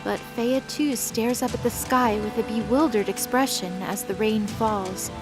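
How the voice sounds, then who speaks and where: A woman's voice: on the high side, a little slow, music in the background. A woman narrator reading a scripted dramatic fantasy story.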